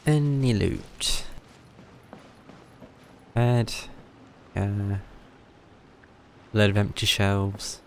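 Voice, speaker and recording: A man's voice: on the low side, drawn-out words, gravelly, long pauses, a little hiss or hum. A man livestreaming a game with casual, offhand commentary in short muttered asides. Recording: game music behind.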